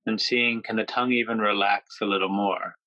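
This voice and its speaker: A man guiding a yoga nidra meditation, speaking slowly and gently with pauses between phrases. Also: fairly low pitch, fairly even intonation, dry recording, lo-fi sound. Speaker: meditation guide.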